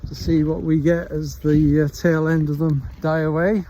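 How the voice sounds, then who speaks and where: A man's voice: on the high side, flat delivery, dark tone, a little hiss or hum, low-quality recording. A middle-aged man with a Welsh accent, chatting casually and unhurriedly into a handheld phone while walking his dog outdoors. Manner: rambling, unhurried chat with frequent pauses. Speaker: vlogger. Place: outdoors on a countryside dog walk.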